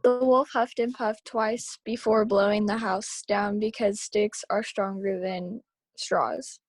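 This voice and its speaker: A woman's voice: medium pitch, steady tone, slow, no echo. A woman reading scripted story narration aloud at a table read over a video call.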